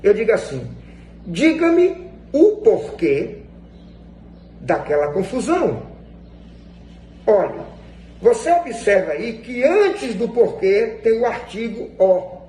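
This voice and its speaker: A Brazilian man teaches in a strikingly high voice that swings widely in pitch. He is didactic and emphatic, repeating phrases to stress them. The room rings with echo around his words. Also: sing-song, slow, long pauses, warm tone. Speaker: Portuguese grammar teacher. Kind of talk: instructional lesson explained to viewers. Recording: echoey room with faint background noise.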